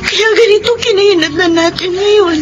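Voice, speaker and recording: A woman's voice: very high voice, fairly even intonation, normal speed, highs cut off. An actress playing a hurt but resolute mother in her thirties, with a Filipino accent and an earnest, dramatic delivery in a radio drama. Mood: sorrowful, tearful. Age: 30s. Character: hurt, resolute young mother of thirty-three, regretful wife. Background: prominent dramatic music.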